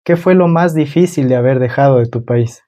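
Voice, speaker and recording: A man's voice: medium pitch, a little fast, dark tone. A man hosting a conversational interview on his own channel, welcoming a guest and asking questions.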